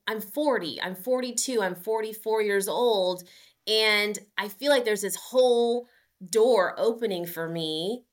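A woman's voice: medium pitch, fairly bright tone. A woman in her forties, a guest on a podcast, talking earnestly and upbeat about her running, with frequent fillers. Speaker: guest, amateur marathon runner. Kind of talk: casual podcast interview.

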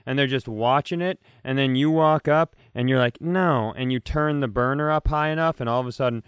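A man's voice: mid-range voice, brisk, clean tone. An American man co-hosting a comedy podcast, bantering casually in a rambling, playful way full of rhetorical questions.

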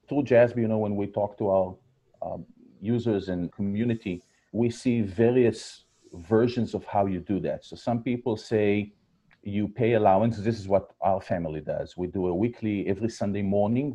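A man's voice: lowish voice, moderate pace, warm tone, husky, muffled recording. A man in his forties with an Israeli accent, a podcast guest telling stories about his family, with many fillers and restarts. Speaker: guest, startup founder. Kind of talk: podcast interview.

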